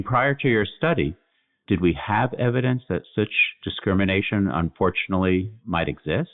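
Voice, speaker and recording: A man with a low voice asks questions with lively rises and falls in pitch. The sound is thin and tinny, like a phone line, and his manner is polite and inquisitive. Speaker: podcast host, physician. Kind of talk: podcast interview questions.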